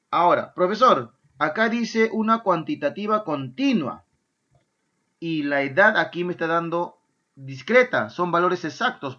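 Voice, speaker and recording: A male math teacher gives a lively class. He keeps checking in with his students. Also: fairly high pitch, lo-fi sound. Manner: lively, asks "¿ya?" and "¿correcto?" often, answers his own imagined student questions. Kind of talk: online math lesson.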